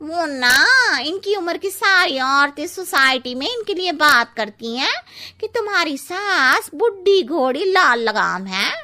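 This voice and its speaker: A woman playing a cheeky, blunt young housemaid in an animated Hindi comic story, with a drawling, exaggerated delivery. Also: high voice, a little slow, heavily acted.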